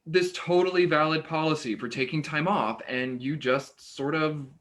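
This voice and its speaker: A woman's voice: deep voice, moderate pace, natural voice. A woman acting an uneasy, evasive neighbor in a play reading, stammering and defensive. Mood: evasive, flustered. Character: evasive, uneasy, apologetic neighbor avoiding involvement. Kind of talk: staged play reading, naturalistic dialogue.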